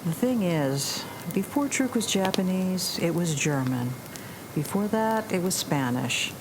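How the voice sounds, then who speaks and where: Young aviatrix, 1940s audio drama: wry, playful, slow-paced, noisy background. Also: female voice, lowish voice, lively intonation. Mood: earnest. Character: plucky, wry young woman pilot in the 1940s Pacific. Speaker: actor. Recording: noticeable noise behind the voice.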